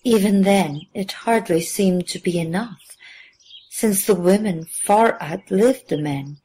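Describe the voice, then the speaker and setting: Slow, stately woman acting a village priestess. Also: mid-range voice, warm tone, breathy edge. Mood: solemn. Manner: formal, measured, stately speech. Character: courteous alien village priestess and leader, gracious, solemn. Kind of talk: audio drama acting.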